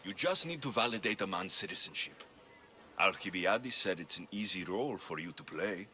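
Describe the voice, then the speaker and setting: An actor playing a blunt, wry mercenary hero with a dry, businesslike manner in a video game. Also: male voice, deep voice, lively intonation, moderate pace, pushed and bright. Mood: persuading. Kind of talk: video game character acting. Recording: game dialogue over music.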